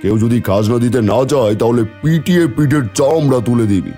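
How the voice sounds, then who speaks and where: A man playing a cruel, greedy landlord in an animated folk tale, commanding and menacing. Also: medium pitch, fairly quick, soft background music, natural voice. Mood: menacing anger. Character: cruel greedy landlord barking orders at his henchman.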